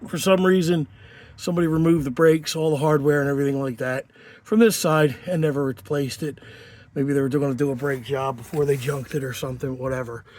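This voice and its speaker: A man's voice: a little high, steady tone, brisk, a little mellow. A man talking casually through a home repair job outdoors, rambling with lots of fillers and restarts, sniffling from a lingering cold. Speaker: home mechanic. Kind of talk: casual garage how-to.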